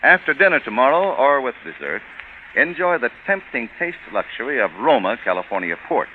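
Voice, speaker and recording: Animated 1940s radio pitchman, tinny sound. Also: a little fast. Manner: polished, emphatic salesmanship. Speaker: radio announcer and sponsor pitchman. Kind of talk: 1940s radio announcing, scripted banter. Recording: tinny, hissy old radio broadcast.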